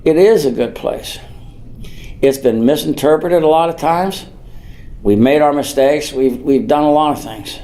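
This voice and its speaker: A man's voice: fairly high pitch, a little slow, mellow. An older man from the United States giving an informal public speech as a mayor, rambling and earnest, repeating phrases for emphasis. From Norwood, United States.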